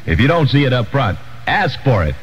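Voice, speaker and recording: A man's voice: medium pitch, big pitch swings, a little fast, slightly rough. An American man giving a scripted 1960s radio commercial announcer read. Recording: muffled 1960s AM radio, slight noise.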